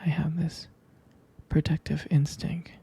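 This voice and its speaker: A man playing a protective, jealous but tender boyfriend in an intimate ASMR roleplay, speaking softly and hesitantly in a breathy, husky whisper. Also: on the high side, little pitch movement, unhurried, full character voice. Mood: jealous, uneasy.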